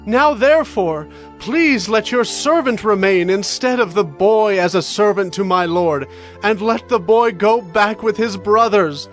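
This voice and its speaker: An actor playing a desperate grown brother who pleads to take another's place, in a dramatized scripture reading. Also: male voice, sits high, measured pace, soft background music. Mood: pleading.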